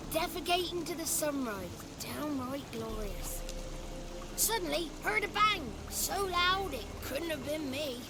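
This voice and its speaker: A woman's voice: sits high, heavy background noise. A woman voicing a crude, playful little boy creature in a video game, speaking in a wordy, theatrical way. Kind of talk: video game character acting.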